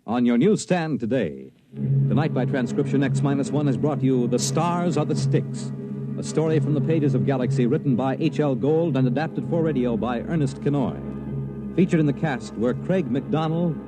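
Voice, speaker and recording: A man's voice: medium pitch, poor audio. A man announcing formally from a script in a polished 1950s network radio style. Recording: 1950s radio broadcast with music.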